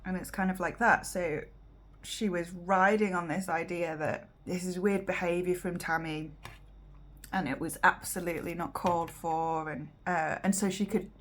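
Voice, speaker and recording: A British woman giving casual reaction commentary as host, rambling and halting as she talks. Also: lowish voice, fairly even intonation. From Britain.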